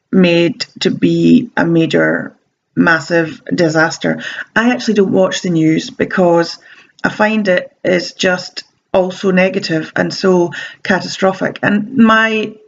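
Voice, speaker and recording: Gravelly-voiced woman with a sore throat. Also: lowish voice, dull audio. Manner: chatty, meandering, frequent ums and restarts. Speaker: self-help vlogger. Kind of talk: casual talking-to-camera advice.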